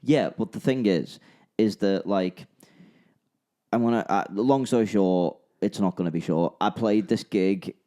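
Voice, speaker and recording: A man's voice: on the low side, measured pace, mellow. A young man from Liverpool with a Scouse accent, co-hosting a podcast and telling a long rambling story. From Liverpool, England. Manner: rambling, restarts and repeats himself. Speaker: podcast co-host, musician. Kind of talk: casual storytelling chat.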